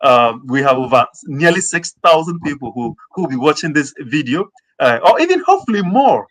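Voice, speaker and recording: A man hosting an online interview, speaking earnestly with frequent fillers and pauses. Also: fairly high pitch, sing-song, fairly bright tone, rough recording. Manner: earnest, many fillers like "you know" and "um". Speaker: host and interviewer.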